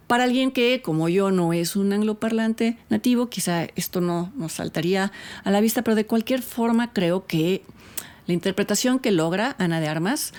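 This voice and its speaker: A woman film critic hosting a review segment, talking in a measured, reflective way with the odd filler. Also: lowish voice, darkish tone. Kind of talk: scripted film review commentary.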